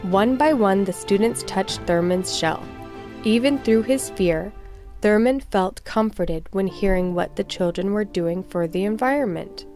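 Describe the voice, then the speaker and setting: A woman narrates a children's storybook at an average pitch. Her read-aloud delivery is expressive and clearly phrased for young listeners, with faint music behind her. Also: a little slow.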